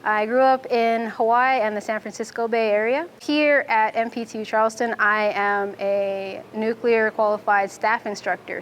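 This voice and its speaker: A young adult woman from Fiji, a Navy instructor, answering interview questions in a clear, methodical way. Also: a little high, a little hiss or hum. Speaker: Navy nuclear instructor and student.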